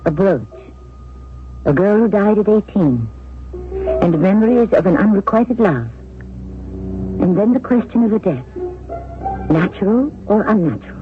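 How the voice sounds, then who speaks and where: Old radio mystery host guy, dramatic, high voice, thin tinny sound. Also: animated, a little slow, long pauses, music in the background. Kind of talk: dramatic scripted radio narration.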